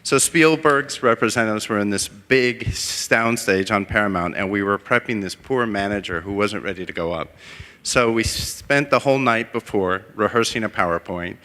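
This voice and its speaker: Bright-voiced man telling an anecdote. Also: on the low side, normal speed. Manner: relaxed, anecdotal. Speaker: panelist. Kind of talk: conference panel talk. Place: conference hall. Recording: reverberant hall.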